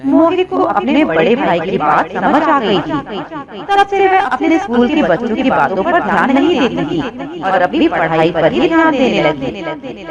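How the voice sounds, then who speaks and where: A woman narrating a scripted moral story as a storyteller. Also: on the high side. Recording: dull audio, loud crowd bed behind.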